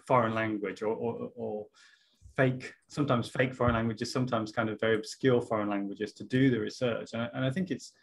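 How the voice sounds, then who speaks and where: A man's voice: medium pitch, vocal fry. Chatty man hosting a video call interview. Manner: chatty, thinking aloud with restarts. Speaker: interviewer host. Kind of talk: casual interview talk. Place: room lined with bookshelves.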